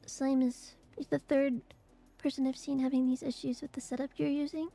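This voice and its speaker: A young woman streamer chatting casually and rambling with fillers, her voice a little hoarse and raspy from allergies. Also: high voice, level tone, moderate pace, dark tone, soft background music. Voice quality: raspy, phlegmy throat. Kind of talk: casual chat to stream viewers.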